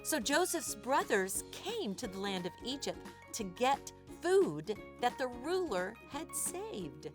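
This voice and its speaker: A woman voicing a patient, wise father bear teaching his cub in a storybook, measured and emphatic. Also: on the high side, very animated, music in the background. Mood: explaining.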